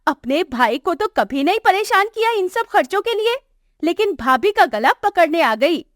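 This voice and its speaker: A woman's voice: very high-pitched, sing-song, moderate pace, dry recording, clean studio sound. A woman voicing an arrogant young working daughter-in-law in a moral story, scornful and complaining. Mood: scornful, angry. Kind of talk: acted moral story dialogue for animation.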